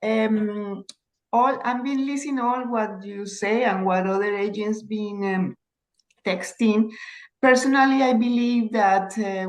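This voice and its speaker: A woman's voice: mid-range voice, poor audio. Hesitant woman. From Colombia. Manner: hesitant, repeats words, non-native phrasing. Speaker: participant, real estate agent. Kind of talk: casual video call discussion.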